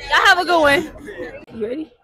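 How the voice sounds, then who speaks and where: A young woman from Texas interviewing strangers in a city street and store, upbeat and quick to repeat herself. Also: high-pitched, expressive, bright, forceful tone, some chatter behind. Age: young adult. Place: outdoors in a busy city square and inside a store. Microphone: handheld phone mic.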